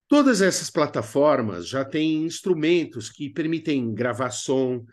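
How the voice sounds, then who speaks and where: A man with a Brazilian accent narrates an instructional video lesson. His voice sits at an average pitch. He explains in a didactic way, dropping in conversational asides to the learner. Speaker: instructor of a radio drama workshop.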